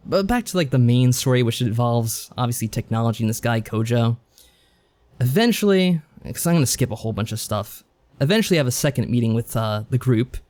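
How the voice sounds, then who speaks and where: Man chatting casually, mid-pitched voice. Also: a little mellow. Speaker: podcast co-host. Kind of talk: casual chat recapping a visual novel.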